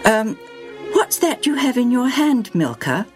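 A woman voicing an anguished, devout and loving mother in a children's Bible story, halting and pleading in a drawn-out way. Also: a little high, big pitch swings, soft background music, pitched above the performer's own voice. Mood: concerned.

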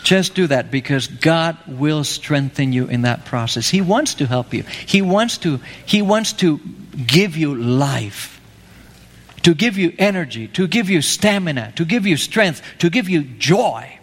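A man's voice: fairly high pitch, animated, unhurried. A man from Argentina preaching an evangelistic sermon, emphatic and pleading, repeating his key phrases. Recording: long reverb of a hall.

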